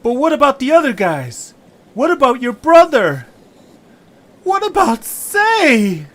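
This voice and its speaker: A man's voice: very high-pitched, wildly swooping pitch, slow, fairly bright tone, exaggerated voice. A man voicing an earnest, anxious young male creature in an audio story, polite and hesitant in manner. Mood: urgent, pleading.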